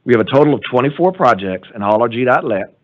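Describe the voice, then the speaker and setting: Guy reading a formal report to a board, steady and measured, mid pitch, tinny phone-like sound. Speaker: transportation department director presenting a report. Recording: tinny phone-like audio from a meeting stream.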